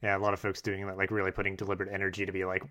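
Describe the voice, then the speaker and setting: Man, podcast host, rambling, filler-heavy, fairly low voice, rapid talk. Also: completely flat delivery, sharp tone. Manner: rambling, many "like" and "you know" fillers. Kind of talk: casual conversational interview.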